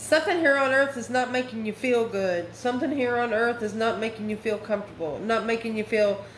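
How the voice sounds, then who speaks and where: A woman's voice: a little high, brisk. A woman hosting a casual, conversational tarot reading, chatty and informal with rambling asides. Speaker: tarot reader and channel host. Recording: echoey room, clear background noise.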